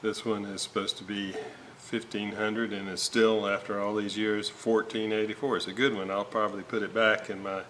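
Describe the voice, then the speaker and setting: Man, slightly low bright voice, halting, thinking aloud, informal repair walkthrough, noisy background. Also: little pitch movement. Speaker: host of a radio restoration video series. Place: indoor workbench, hands working on components. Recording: noticeable background noise.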